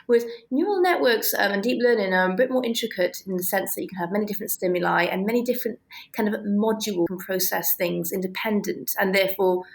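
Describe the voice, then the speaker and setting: A woman being interviewed, explaining ideas reflectively and haltingly as she thinks aloud. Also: mid-range voice, vocal fry. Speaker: interview guest, author.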